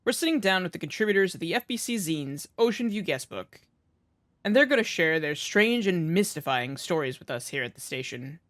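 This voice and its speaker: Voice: medium pitch, big pitch swings, fairly quick, fairly bright tone, no room sound, studio quality. A performer playing a mysterious late-night paranormal radio show host, speaking in a theatrical, suspenseful way. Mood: mysterious, welcoming.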